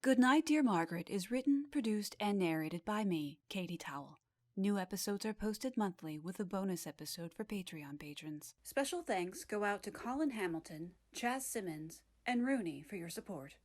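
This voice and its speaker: A woman narrating scripted fiction in a measured, earnest manner, as a podcast storyteller. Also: mid-range voice, expressive, darkish tone, no echo. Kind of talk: scripted fiction narration, mock advice column.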